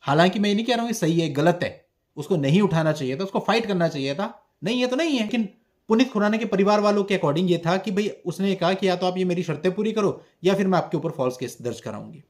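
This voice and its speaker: A man with a fairly high voice explains a legal matter as a video host. He speaks emphatically, often posing rhetorical questions and slipping English terms into his explanation. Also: animated, quick pace. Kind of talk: explanatory commentary to camera on a legal case.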